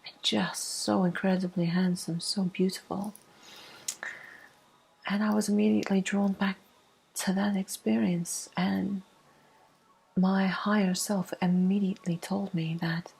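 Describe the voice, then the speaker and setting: A woman telling a personal story in a soft-spoken ASMR style, hushed and unhurried, with a breathy, husky voice that often drops into a whisper. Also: fairly low pitch, rough recording.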